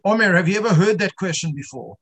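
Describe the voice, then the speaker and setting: A man with a fairly high voice leads an informal online class. He talks haltingly, repeats himself and builds his points through questions to the students. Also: animated, fairly bright tone. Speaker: teacher of an online religious class.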